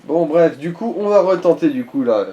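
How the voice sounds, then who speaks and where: A man with a mellow, relaxed voice pitched a little high guides a player through a video game. His commentary is casual and blunt, full of crude slang. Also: normal speed, highs cut off. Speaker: let's play co-commentator guiding the player.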